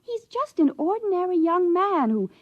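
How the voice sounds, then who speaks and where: An actress playing an earnest, questioning young researcher daughter, quietly defiant and hesitant, in an old radio drama. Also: female voice, very high voice, big pitch swings, moderate pace. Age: young adult. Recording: dull, lo-fi old radio recording.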